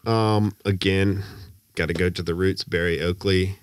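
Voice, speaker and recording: A middle-aged man from the United States with a lowish voice talks in a loose, halting way, full of fillers and easy laughs. The sound is close and dry. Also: fairly even intonation, smooth, no room sound. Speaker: podcast guest, drummer. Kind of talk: casual conversation.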